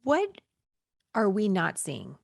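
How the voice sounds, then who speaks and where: A woman host putting measured, direct questions to a guest. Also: medium pitch, huge pitch swings, gravelly, no room sound, studio quality. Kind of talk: interview questions.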